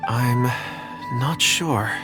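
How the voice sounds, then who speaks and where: An actor playing a gentle, earnest, shy young man in a video game, speaking softly and hesitantly. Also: mid-range voice, extremely slow. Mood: concentrating. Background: game music.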